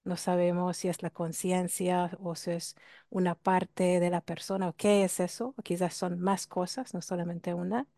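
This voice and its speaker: Hungarian-accented woman, low warm voice. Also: level tone, moderate pace, creaky, dry recording, clean studio sound. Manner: reflective, explanatory, with rhetorical questions. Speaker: workshop speaker. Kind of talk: talk on ancestral healing.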